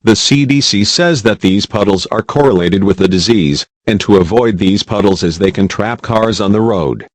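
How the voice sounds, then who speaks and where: A man's voice: on the low side, moderate pace, few pauses, no room sound, natural voice. A man voicing a formal television news anchor in a scripted emergency news broadcast. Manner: formal, even delivery.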